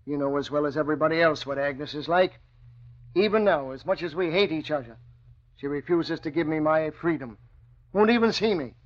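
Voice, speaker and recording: An actor as a bitter, higher-voiced husband. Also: male voice, brisk, close to an everyday voice. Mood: bitter, determined. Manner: emphatic, resentful. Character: bitter, aggrieved middle-aged husband, club gentleman. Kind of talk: vintage radio drama acting. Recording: muffled old radio recording.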